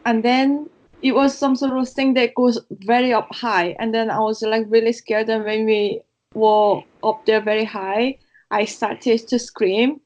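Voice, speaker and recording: Higher-voiced woman, chatty co-host. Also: fairly even intonation, measured pace, darkish tone. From South Korea. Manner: chatty storyteller who laughs often. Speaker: podcast co-host. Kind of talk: casual chat. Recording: slightly dull lo-fi sound.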